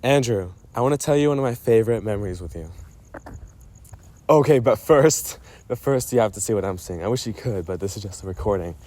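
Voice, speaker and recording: A man's voice: fairly low pitch, expressive, a little fast, a little mellow, no echo. Rambling man telling stories in a voice memo. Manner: digressive, often interrupting himself and losing his thread. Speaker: storyteller recording a personal voice memo. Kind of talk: rambling casual monologue addressed to a friend.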